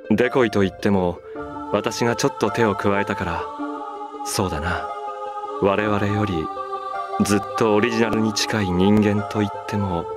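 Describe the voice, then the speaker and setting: A man acting a low-voiced, wistful ancient master. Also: close to an everyday voice. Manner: measured, soft-spoken, reflective. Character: serene, weary ancient master, gentle and wistful. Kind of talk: dramatic game cutscene acting. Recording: game music behind the voice.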